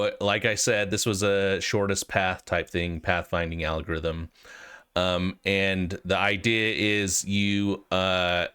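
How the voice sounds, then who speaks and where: Deep-voiced American man with a cold. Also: fairly bright tone, clean tone. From United States. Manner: informal, hesitant, thinking aloud. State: has a cold. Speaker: host of a programming walkthrough video. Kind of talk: casual explanatory tutorial talk.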